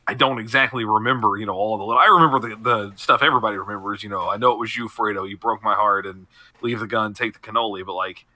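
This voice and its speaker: A man's voice: fairly low pitch, fast, pushed and bright, no room sound. A man co-hosting a podcast, rambling through casual banter and laughing often. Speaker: podcast co-host.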